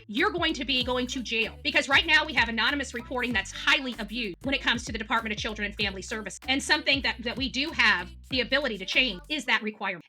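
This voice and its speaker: Really high sharp woman ranting on a livestream, mad and in your face, music behind her. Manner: combative, emphatic, repetitive; coarse, outspoken. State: angry, worked up. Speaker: livestream host. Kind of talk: impassioned livestream rant.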